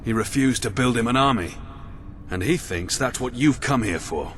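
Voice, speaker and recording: An actor playing a wry, watchful soldier escorting a ruler in a video game, given to dry asides. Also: male voice, medium pitch, animated, moderate pace, a bit bright, slight background noise, natural voice. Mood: grim, determined. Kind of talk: video game character dialogue.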